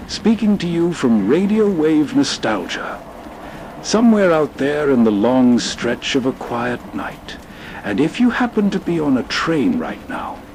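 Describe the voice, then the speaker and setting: A male radio host reads a late-night introduction at a slow, measured pace. His voice sits a little high and sounds soothing, over faint train rumble. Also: a little mellow. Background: train ambience.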